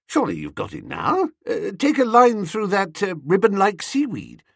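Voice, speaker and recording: Casting: male reader as foppish young suitor, airy, cheerfully dim, lightly high, very animated, comic storybook. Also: normal speed, vocal fry, slightly rough, no room sound, studio quality, exaggerated voice. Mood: breezy. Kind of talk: comic audiobook character.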